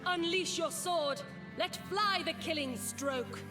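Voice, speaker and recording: A regal, commanding queen from a stage play in a video game. Her high voice is slow and stately as she gives her orders in rhyme, with music running underneath.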